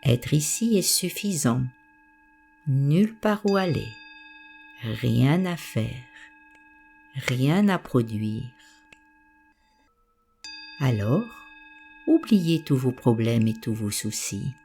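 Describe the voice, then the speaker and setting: A woman with a very low, soft-edged mellow voice leads a guided meditation. She gives soothing instructions at a very slow pace, with a gentle melodic rise and fall in her phrasing. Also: big pitch swings, creaky, a little hiss or hum.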